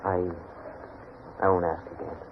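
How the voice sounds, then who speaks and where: An actor playing an earnest, insecure man in love, halting and pleading, in 1940s radio drama style. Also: low-pitched, little pitch movement. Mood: eager, tender. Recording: noisy, tinny 1940s radio recording.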